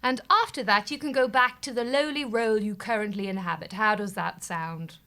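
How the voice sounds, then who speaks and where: An actress playing a melodramatic, bossy and overwrought office manager in a scripted audio comedy. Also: female voice, mid-range voice, brisk. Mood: bossy, decisive. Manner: theatrical, swings from panic to forced calm.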